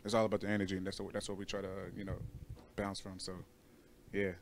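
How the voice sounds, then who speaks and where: A man's voice: low voice, monotone, quick pace, a little hiss or hum. A man chatting casually as a guest artist, slangy and often repeating his words. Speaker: musical artist, show guest. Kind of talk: casual chat.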